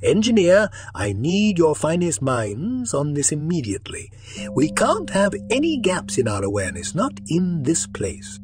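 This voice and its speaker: A man voicing a tense ship commander who speaks in a hushed, urgent half-whisper. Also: fairly high pitch, huge pitch swings, measured pace.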